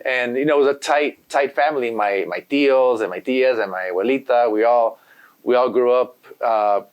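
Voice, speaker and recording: Laid-back man, native Spanish speaker. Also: medium pitch. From Los Angeles. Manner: laid-back, rambling, full of "you know". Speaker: graffiti artist guest. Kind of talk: casual interview chat.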